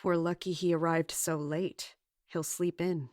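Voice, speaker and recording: A woman's voice: fairly low pitch, flat delivery, darkish tone, no echo, lightly acted. A woman playing a resolute, guarded guard captain, speaking formally and narrating in a fantasy audio drama. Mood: scheming, decisive. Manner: formal, measured, commanding.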